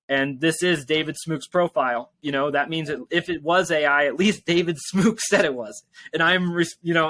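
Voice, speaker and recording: Male interview guest, casual and rambling, bright voice sitting a bit high, dry sound. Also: no echo. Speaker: tech company founder and interview guest.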